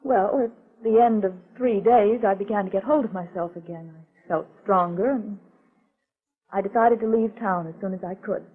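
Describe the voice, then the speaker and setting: A woman's voice: mid-range voice, moderate pace, breathy edge, close to an everyday voice. An actress playing a frightened, earnest woman client in a 1940s radio mystery, speaking carefully and dramatically. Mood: anxious recounting. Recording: tinny, phone-like 1940s radio recording.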